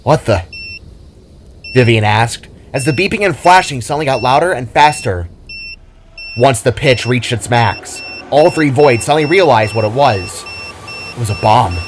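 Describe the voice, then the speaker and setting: A man's voice: medium pitch, expressive, normal speed, slight background noise. A man reading scripted fiction as a dramatic storyteller.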